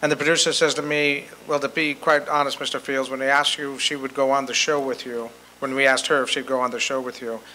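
A man's voice: mid-range voice, level tone, fast talker, few pauses, pushed and bright. An American man over sixty telling anecdotes to an audience in a plain, conversational way. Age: 60s or older. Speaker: guest speaker at a convention. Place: hotel meeting room with seated audience. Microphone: handheld microphone. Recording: noisy hotel meeting room.

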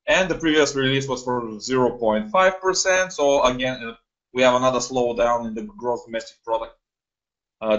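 A man's voice: medium pitch, fairly bright tone. A young man from Bulgaria, hosting a live webinar in a chatty way with many fillers, recorded on a computer mic in a small room. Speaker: webinar host. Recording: dull lo-fi webinar audio.

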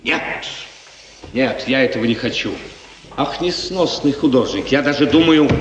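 An actor playing a capricious, lovesick prince, theatrical and imperious, musing aloud in a 1950s radio play. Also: male voice, mid-range voice, moderate pace, lo-fi sound, close to an everyday voice. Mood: wistful, irritated. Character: capricious, restless, lovesick prince of a small state. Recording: roomy, distant, noisy 1950s radio recording.

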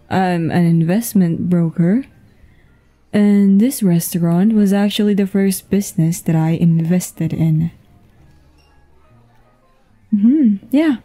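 A woman playing a flirtatious, easygoing businesswoman on a date, in a casual, intimate ASMR roleplay with a soft voice. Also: medium pitch, close to an everyday voice. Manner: unhurried, giggly, casual. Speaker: audio roleplay voice actor. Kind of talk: intimate ASMR roleplay acting, one-sided conversation. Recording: music behind the voice.

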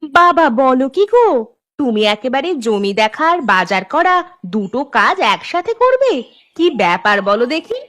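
A woman playing a caring, practical village housewife in a cartoon, chatty and expressive. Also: sits high, normal speed. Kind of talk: Bengali cartoon voice acting.

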